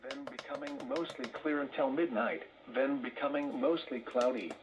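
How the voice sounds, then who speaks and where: A man's voice: mid-range voice, steady tone, moderate pace, slight background noise, low-quality recording. A man's synthetic voice reading formal scripted emergency announcements through a weather radio speaker.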